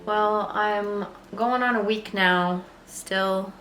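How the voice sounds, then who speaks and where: A woman vlogger chatting casually about her knitting, her voice still a little hoarse as it recovers, rambling with fillers and light laughs. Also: medium pitch, steady tone, extremely slow, fairly bright tone, reverberant, a little hiss or hum, rough recording. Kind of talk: casual chat to camera.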